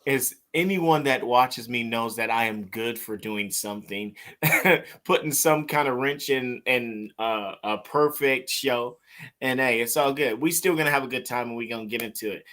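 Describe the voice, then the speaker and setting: A man's voice: medium pitch, pushed and bright. A man hosting an interview show, talking in a laid-back, rambling, self-deprecating way into a studio mic. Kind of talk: casual interview chat. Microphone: studio mic with mixer.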